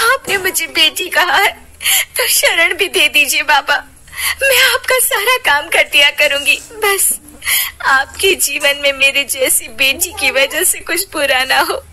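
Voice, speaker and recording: A woman voicing a gentle, distressed young daughter-in-law in a cartoon story, pleading respectfully and earnestly. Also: high voice, animated, slow, heavily acted. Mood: tearful, pleading.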